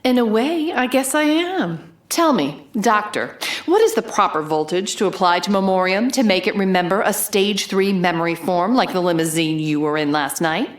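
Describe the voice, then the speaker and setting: A woman's voice: mid-range voice, expressive, normal speed, hardly any pauses, lightly acted. A woman playing a bold, wry investigative reporter who narrates her case in a dramatic, noir-style audio drama. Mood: triumphant accusing.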